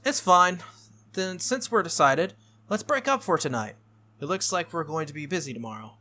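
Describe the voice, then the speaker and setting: A bossy teenage girl mage from a visual novel, put on by a man, talks bluntly and with exasperation. The delivery is bright and pointed, over music. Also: on the high side, lively intonation, voice pushed up. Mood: conceding, decisive. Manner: blunt, scolding, brisk.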